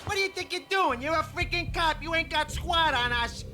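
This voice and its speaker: An actor playing a whiny, panicky mob boss who yells in brash tough-guy slang. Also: extremely high pitch, normal speed. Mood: scornful. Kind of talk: video game voice acting. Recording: game audio with loud music.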